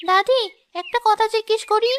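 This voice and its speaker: A woman's voice: very high voice, normal speed, fairly bright tone, a little hiss or hum. A woman voicing a young boy from a rich family in a cartoon who asks earnest questions.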